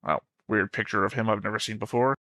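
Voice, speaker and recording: A man's voice: fairly low pitch, fairly even intonation, quick pace, sharp tone, no echo, studio quality. A man in a casual fan discussion as a show co-host, talking in a pedantic, insistent way.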